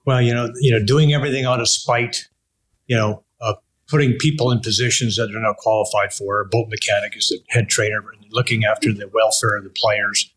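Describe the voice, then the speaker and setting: An older man with a Canadian accent, hosting an interview casually in a halting, rambling way. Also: on the low side, fairly even intonation, fairly bright tone, breathy edge, low-quality recording. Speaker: interview host, veteran broadcaster.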